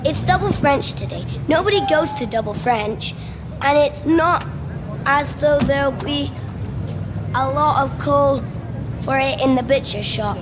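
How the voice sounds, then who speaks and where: An amateur actress with a Scottish accent playing a cheeky, daydreaming schoolboy in a radio play, eager and boastful. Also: female voice, sits high, a little slow. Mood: cheeky, casual. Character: cheeky, daydreaming schoolboy, butcher's son under sixteen. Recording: noisy remote home recording.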